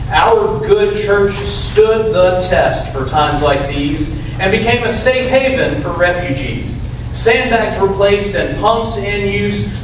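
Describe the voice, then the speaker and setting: A man's voice: high-pitched, distant mic. A man reading a rhyming poem aloud in a church readers theater. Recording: echoey church hall from afar, noisy.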